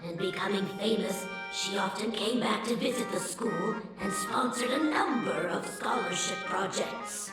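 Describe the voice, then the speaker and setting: A woman's voice: medium pitch, measured pace, few pauses, close to an everyday voice. A woman voicing a chatty female informant in a game, telling what she has heard in a matter-of-fact way. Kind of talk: game voice acting. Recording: roomy game audio with loud music.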